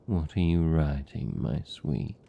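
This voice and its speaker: A man's voice: a bass voice, vocal fry, dark tone, full character voice. A British man playing a seductive, possessive vampire captor in an intimate fantasy ASMR roleplay, teasing and condescending in manner. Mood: amused, teasing. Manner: slow, teasing, condescending, velvety menace.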